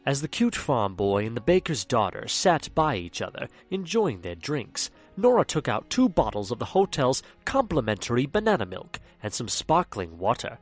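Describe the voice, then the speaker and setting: A man tells the story in a lively, theatrical narrating voice of middle pitch. His delivery rises and falls a lot. Soft music plays behind. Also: moderate pace, few pauses. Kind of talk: scripted narration.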